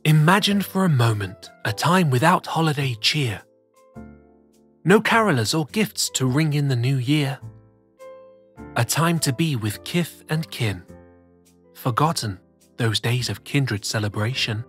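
A man narrating a children's story as host, reading rhymes in a theatrical, deliberate way. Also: medium pitch, animated. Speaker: storyteller host of a children's read-aloud show. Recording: soft music bed behind the voice. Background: soft music.

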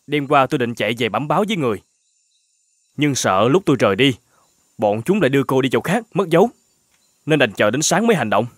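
A man's voice: mid-range voice, very animated, quick pace, pauses often, no room sound, studio quality. A man voicing a gallant young rescuer in a fairy-tale cartoon, speaking in an earnest, reassuring way.

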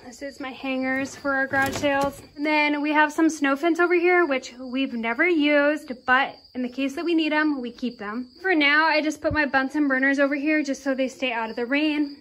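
A woman's voice: sits high, bright, forceful tone, rough recording. A woman in her twenties from Iowa, chatting casually as she vlogs while walking through an old farm barn. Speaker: vlogger. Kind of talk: casual vlog narration. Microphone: handheld camera close to the face.

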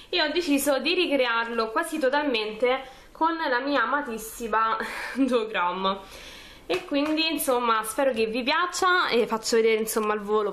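Higher-voiced young woman chatting informally. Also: fairly bright tone. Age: 20s. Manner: informal, chatty, narrates actions step by step. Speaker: beauty vlogger. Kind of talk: casual makeup tutorial chat to camera. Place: small furnished room at home, indoors.

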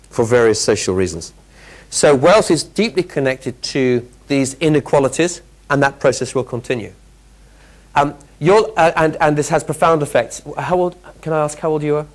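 A middle-aged British man giving a lively interactive keynote talk, quizzing the audience with quick questions, on a lapel mic in a large hotel conference room. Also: on the high side. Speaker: keynote speaker. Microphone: clip-on lapel mic.

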